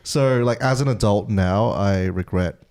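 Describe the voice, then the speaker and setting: A man's voice: fairly low pitch, measured pace, darkish tone. A young man, born in Myanmar, talking in a reflective, hesitant way as a podcast co-host. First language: Burmese. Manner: reflective, hesitant, many restarts. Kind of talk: casual chat.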